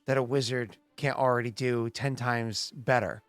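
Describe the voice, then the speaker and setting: A man's voice: medium pitch, steady tone, moderate pace, dry recording, soft background music. A man in his late thirties, the game master, chatting and explaining rules at length with many restarts. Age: late 30s. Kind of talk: casual chat and game narration.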